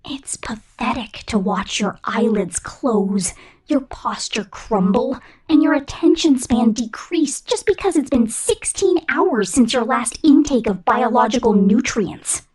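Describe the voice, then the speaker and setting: A woman voicing a vain, domineering female robot corporate boss who scorns humans, in a condescending, menacing ASMR roleplay with a whispery, deliberate delivery. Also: on the high side, lively intonation, a little mellow, rough recording. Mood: cold, menacing. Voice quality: breathy, whispery. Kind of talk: ASMR roleplay monologue, scripted.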